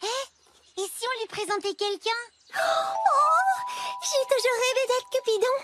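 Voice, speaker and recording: A woman voicing a playful, romantic, scheming schoolgirl in a French cartoon, excitable and theatrical. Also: very high voice, takes it slowly, no echo, full character voice. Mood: excited. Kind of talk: French animated series voice acting.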